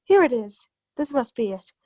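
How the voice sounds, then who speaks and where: A woman's voice: a little high, sing-song, normal speed, dark tone, a little hiss or hum. A woman playing a self-righteous society lady serving as jury foreman in a radio play, formal and controlled. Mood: flustered.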